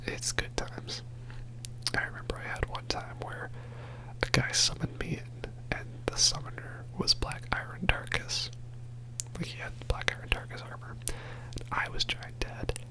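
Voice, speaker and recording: A man whispering a soft, rambling ASMR commentary over gameplay as host of his channel, joking about himself with quiet laughs. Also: poor audio. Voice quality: whispering throughout.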